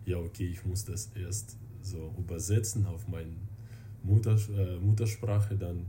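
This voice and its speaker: A man's voice: low voice, steady tone, background noise. A man with a Russian accent and Russian as his native language, chatting casually and searching for words as a guest. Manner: halting, searching for words in non-native German. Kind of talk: casual interview chat.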